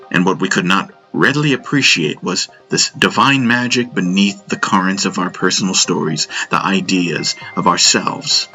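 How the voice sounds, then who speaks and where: A man's voice: sits low, pushed and bright. A man reading scripted first-person fiction as an audiobook narrator.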